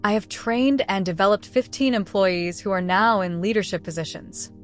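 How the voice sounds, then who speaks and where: A woman voicing a composed, determined businesswoman in a story, speaking in a formal, measured, assertive manner. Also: medium pitch, soft background music, close to an everyday voice. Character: composed, determined young businesswoman confronting her bosses.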